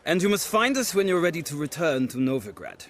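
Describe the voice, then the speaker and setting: High-voiced, lively aristocratic horseman. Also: brisk. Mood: courtly, appreciative. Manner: formal, florid, courtly phrasing. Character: refined, haughty aristocratic horse connoisseur, playful and eager. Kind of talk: video game character acting.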